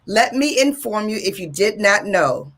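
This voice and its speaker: An exuberant woman hosting talk radio with a bright, forceful voice. She is from Detroit and talks in a sing-song way, repeating her lines for emphasis. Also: medium pitch, lively intonation, measured pace. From Detroit, USA. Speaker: radio show host.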